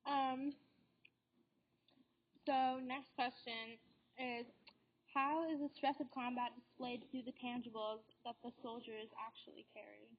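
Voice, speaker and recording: A teenage girl, a student guest on a school podcast, answering thoughtfully and hesitantly with many pauses. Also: on the high side, fairly even intonation. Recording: dull lo-fi recording.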